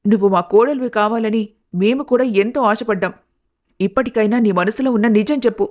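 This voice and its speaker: A woman voicing a protective, disapproving middle-aged aunt in an animated family story, blunt and motherly. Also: a little high, fairly quick, close to an everyday voice. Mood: concerned, probing.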